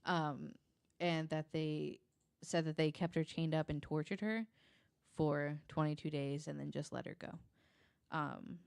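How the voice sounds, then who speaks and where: A woman with a deep-set, fry-edged voice talks through true crime on a podcast. She is informal and halting, often hedging, and the sound is dry with no room echo. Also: steady tone, darkish tone. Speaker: podcast guest. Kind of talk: conversational true crime case retelling.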